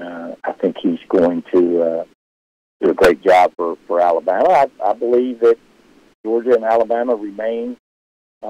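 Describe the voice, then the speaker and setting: Deep-voiced man with dull lo-fi audio. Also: no room sound. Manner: loud voice, rambling with many fillers and restarts. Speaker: sports show host answering viewer questions. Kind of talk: casual live chat. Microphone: live stream setup.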